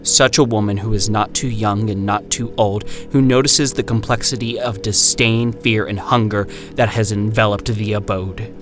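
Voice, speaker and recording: A man voicing a grim, bitter first-person narrator in a dramatic horror reading, with measured, poetic pauses. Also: on the low side, fairly even intonation, close to an everyday voice. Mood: brooding. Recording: music behind the voice.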